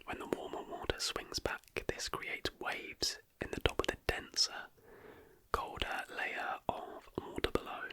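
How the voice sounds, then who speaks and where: An ASMR host reading a script in a soft whisper, with careful, deliberate phrasing. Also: no echo.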